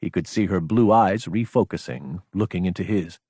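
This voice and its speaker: A man's voice: low-pitched, normal speed, dark tone, husky, no room sound, clean studio sound. A man reading scripted fiction as an audiobook narrator, in a dramatic storytelling style.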